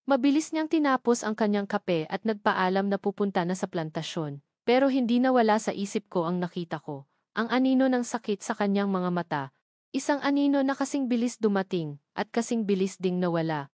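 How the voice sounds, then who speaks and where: A woman with a Filipino accent narrates a dramatic first-person love story in a sentimental, measured way, phrase by phrase. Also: mid-range voice, animated, a little mellow, no echo, clean studio sound.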